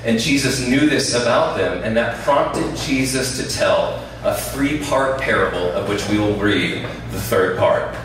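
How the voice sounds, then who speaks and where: Loud male preacher in an echoey room. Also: fairly low pitch, lively intonation, a little slow, hardly any pauses, faint music behind. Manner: loud, conversational, checks in with the audience. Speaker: guest preacher. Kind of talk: sermon. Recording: very echoey room, distant mic, faint ambience.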